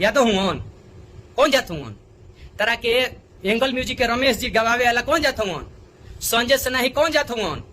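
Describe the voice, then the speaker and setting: A man's voice: very high voice, sharp tone. A man with a Bhojpuri accent making an indignant, pleading direct appeal, often repeating himself for emphasis. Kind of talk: agitated direct appeal in a video.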